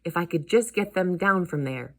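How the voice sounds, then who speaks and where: A woman voicing an excitable, grumpy male duck uncle in a children's storybook read-aloud. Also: on the low side, normal speed, pitched above the performer's own voice. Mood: indignant.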